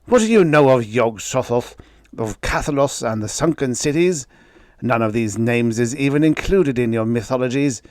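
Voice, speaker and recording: A man's voice: medium pitch, animated, normal speed, dry recording, studio quality, natural voice. A man voicing an ancient, arrogant male sorcerer who rants and mocks in archaic speech. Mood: raving. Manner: ranting, contemptuous, archaic speech.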